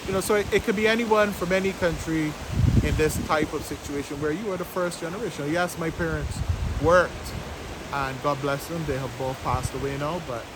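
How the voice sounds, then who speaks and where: Man, fifties, fairly high voice, deliberate halting delivery, informal explainer, outdoors, very loud wind noise. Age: 50s. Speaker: vlog host, business owner. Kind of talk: explanatory talk to camera, informal. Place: outdoors by the sea, west coast of Barbados.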